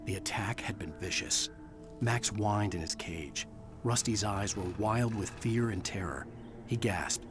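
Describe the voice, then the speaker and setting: A man narrating a horror video game in a measured, brooding voice as the writer lead. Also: on the low side, over music.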